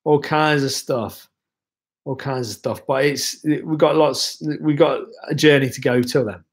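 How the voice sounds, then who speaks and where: A young man hosts a relaxed livestream, rambling from one viewer comment to the next with plenty of ums and restarts. He is still ill and his voice is wearing thin. His pitch sits in an ordinary middle range. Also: unhurried. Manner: relaxed, rambling, chatty with many fillers. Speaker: livestream host. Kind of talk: casual livestream chat reading viewer comments.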